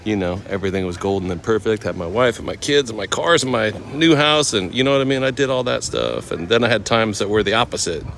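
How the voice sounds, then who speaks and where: A man from Oakland in his thirties, casually chatting with viewers while walking through a temple courtyard outdoors, easygoing and quick to laugh. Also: lowish voice, expressive, creaky, a bit bright, slight background noise. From Oakland, California. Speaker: vlogger answering live chat. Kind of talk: casual live-stream walk and chat.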